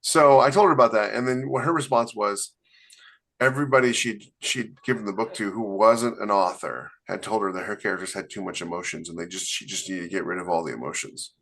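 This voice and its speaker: A man with a fairly low voice co-hosts a podcast in loose, casual chat. He rambles and leans hard on his points, scattering "you know" fillers as he goes. He sounds like he is talking into a podcast mic. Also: a little fast, vocal fry, fairly bright tone. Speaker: podcast co-host and author.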